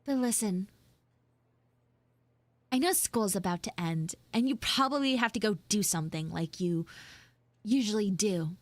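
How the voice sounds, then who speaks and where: A woman's voice: mid-range voice, measured pace, pauses often, no room sound, lightly acted. A woman voicing a flustered, shyly smitten tsundere schoolgirl in a live audio roleplay, hesitant and coyly defensive.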